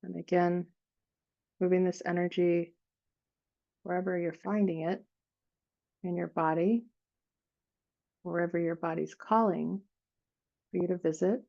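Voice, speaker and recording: A woman with a lowish, noticeably creaky voice leads a guided meditation. She speaks gently in unhurried phrases, leaving frequent pauses as she improvises the visualization. The recording is somewhat dull and lo-fi. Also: fairly even intonation.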